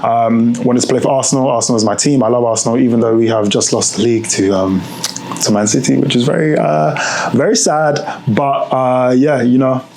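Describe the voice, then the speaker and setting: Young British male podcast host; relaxed, rambling solo chat with frequent fillers. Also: medium pitch, fairly quick, creaky, a little hiss or hum, rough recording. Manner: relaxed, rambling, many ums and self-corrections. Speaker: podcast host and strength coach. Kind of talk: casual solo podcast monologue.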